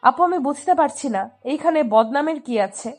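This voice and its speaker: Woman acting younger sister, high-pitched, pouting, stubborn, lovestruck, audio drama, soft music behind. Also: expressive, normal speed. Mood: defiant. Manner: pouting, defiant. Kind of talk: dramatised romantic audio story.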